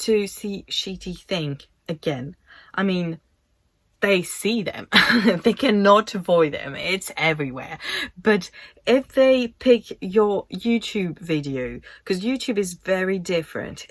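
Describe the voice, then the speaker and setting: A woman's voice: mid-range voice, dry recording. A woman in her thirties with a French accent, talking casually to camera in English about sharing her work online, thoughtful and given to restarts. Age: 30s. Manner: slow, reflective, trails off and restarts. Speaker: vlogger.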